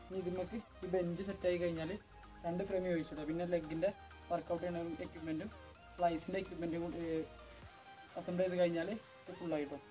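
A man's voice: on the high side, flat delivery, fast, long pauses, over music. A young man filming himself outdoors in a yard, explaining step by step how he assembles a home workout bench. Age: young adult. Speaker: fitness vlogger. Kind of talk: casual how-to commentary. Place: outdoor garden yard among banana trees. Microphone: camera on tripod at a distance.

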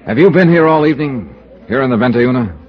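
An actor playing a hard-boiled, probing investigator, terse and atmospheric, in an old-time American radio detective drama. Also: male voice, mid-range voice, expressive, fast talker, soft background music, lightly acted. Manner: clipped, terse questioning and atmospheric narration. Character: hard-boiled, probing male investigator narrating his case. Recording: muffled old radio broadcast recording.

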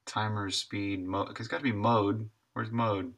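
Slow-paced man with a bright, casual voice. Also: fairly low pitch, steady tone. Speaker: game developer live streaming. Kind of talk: casual chat while coding, thinking aloud.

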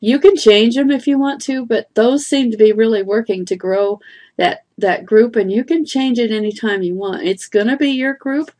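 A woman's voice: fairly high pitch, normal speed, mellow. A middle-aged woman giving a screen-share tutorial on online marketing from home, chatty and meandering, with fillers and restarts.

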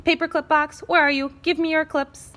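A woman voicing an eager, commanding highlighter pen character from a storybook. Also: flat delivery, normal speed, a bit bright. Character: take-charge highlighter full of bright ideas.

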